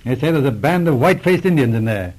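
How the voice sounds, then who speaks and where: An actor playing a brisk, commanding Mountie sergeant with a husky voice in a 1940s radio adventure. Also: male voice, mid-range voice, lively intonation, a little mellow, natural voice, rough recording. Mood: intrigued. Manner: decisive, exclamatory. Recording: old 1940s radio recording.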